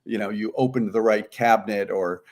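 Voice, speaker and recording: A man's voice: on the low side, steady tone, a little fast. A man speaking as an interview guest, giving conversational, explanatory answers. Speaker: guest, puzzlemaker.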